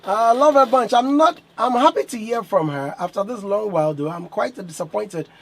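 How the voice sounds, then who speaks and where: A man's voice: high-pitched, normal speed, creaky, a little hiss or hum. A man hosting a morning radio show, playful, reading out listener messages to his guest. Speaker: radio host.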